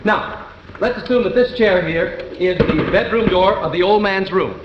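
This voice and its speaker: An actor playing a calm, persistent juror in 1950s live television drama, reasoning step by step with small hesitations. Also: male voice, sits high, moderate pace, natural voice. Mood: methodical, determined. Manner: hesitant, methodical, explaining step by step. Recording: muffled, noisy, echoey 1950s TV broadcast.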